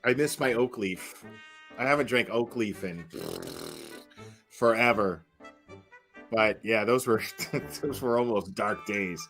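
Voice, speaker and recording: A man's voice: lowish voice, slow, pauses often, a bit bright, soft background music. Informal American man, mocking live commentary. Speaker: streamer reacting to a video. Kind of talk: casual live reaction commentary.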